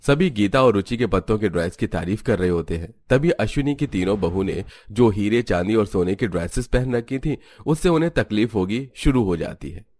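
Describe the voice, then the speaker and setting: A man's voice: lowish voice, expressive, normal speed, hardly any pauses, a little mellow, dry recording. A man reading scripted narration for a cartoon story as its storyteller.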